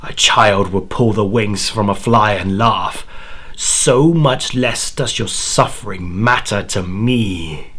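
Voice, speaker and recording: A man's voice: on the low side, takes it slowly, a bit bright. A man voicing a cruel, mocking demon spirit in a horror audio story, with a menacing delivery. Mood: cold, mocking.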